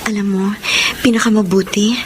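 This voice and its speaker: A woman's voice: mid-range voice, fairly even intonation, brisk, a little mellow, slight background noise, close to an everyday voice, rough recording. An actress in a Filipino radio drama playing an earnest, devoted young girlfriend who is easily hurt, with a pleading, emotional delivery. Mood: concerned urging.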